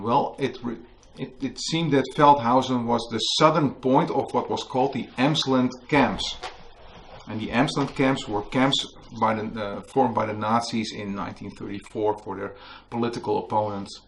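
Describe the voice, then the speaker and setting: A man from the Netherlands with a Dutch accent, telling a story to an audience at a book talk and often pausing to search for English words. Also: mid-range voice, fairly even intonation, gravelly, slight background noise, poor audio. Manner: hesitant, searching for English words, many fillers. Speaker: author giving a book talk. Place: museum hall with a live audience.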